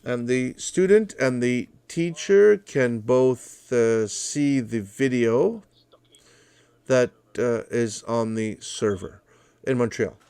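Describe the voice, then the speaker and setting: Slow, clear-voiced male teacher. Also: medium pitch, mellow, dry recording. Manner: walks through a demo step by step. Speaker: college language teacher presenting. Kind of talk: online presentation with screen demo. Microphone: video call microphone.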